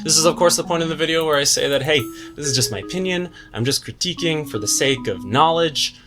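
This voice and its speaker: A man's voice: a little high, fast talker, music in the background. A man giving a scripted book review commentary in a wry, tongue-in-cheek, slangy way. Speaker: book reviewer, video host. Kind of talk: scripted video essay commentary with slangy asides.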